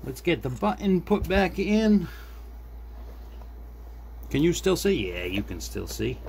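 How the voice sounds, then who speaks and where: A man's voice: fairly high pitch, big pitch swings, takes it slowly, gravelly, darkish tone. A middle-aged man giving a casual, unscripted repair demonstration, thinking aloud to viewers as he works at a desk indoors. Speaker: hobbyist repair video maker. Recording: background noise, room ambience.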